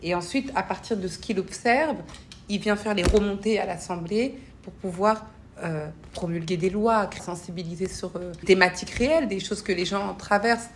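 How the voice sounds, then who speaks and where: A woman's voice: mid-range voice, moderate pace, a little hiss or hum. A woman giving reflective interview answers in an earnest, searching manner, often repeating words. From grew up between French and Congolese cultures. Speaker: interview guest, parliamentary assistant and association founder.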